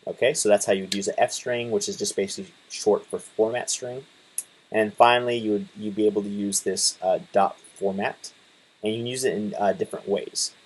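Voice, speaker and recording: A man in his twenties casually talking through a coding tutorial, relaxed, with lots of fillers and restarts. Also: fairly low pitch, brisk, slightly rough. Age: 20s. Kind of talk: casual screen-share tutorial.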